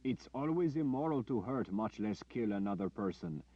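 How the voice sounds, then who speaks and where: A man voicing a middle-aged male villager who lectures calmly in a preachy way. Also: on the low side, normal speed, no room sound, pitched above the performer's own voice. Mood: moralizing. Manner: calm, preachy. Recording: dull dry lo-fi audio.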